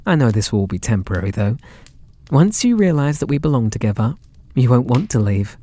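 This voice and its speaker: An actor with a British accent playing a possessive, lovestruck kidnapper in an ASMR roleplay, sweet and doting in a theatrical, intimate way. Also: male voice, mid-range voice, animated, a little fast, mellow, no room sound, close to an everyday voice. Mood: smug, possessive. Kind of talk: ASMR roleplay audio drama.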